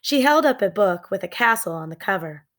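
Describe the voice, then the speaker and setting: A woman's voice: mid-range voice, lively intonation, a little fast, fairly bright tone. A woman reading scripted narration from a children's storybook. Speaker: storybook reader.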